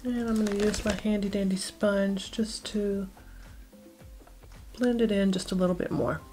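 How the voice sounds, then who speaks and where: A middle-aged woman with a medium-pitched voice speaks as a beauty vlogger. She explains each step of a makeup routine in a casual, instructional way. Light music plays behind her. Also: fairly even intonation, normal speed, warm tone.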